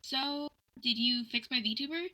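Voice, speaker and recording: A young woman with a very bright, piercing tone and a fairly high pitch. She tells stories in a casual, animated rant, slipping into quoted back-and-forth as she goes. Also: a little slow. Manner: animated ranting, quoting conversations in dialogue. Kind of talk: casual storytelling chat.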